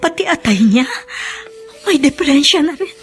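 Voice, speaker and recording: A woman acting in a Filipino radio drama as a meek, concerned housewife with a soft, hesitant way of talking. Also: sits high, lively intonation, moderate pace, soft background music. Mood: alarmed. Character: meek, concerned sister-in-law and patient housewife.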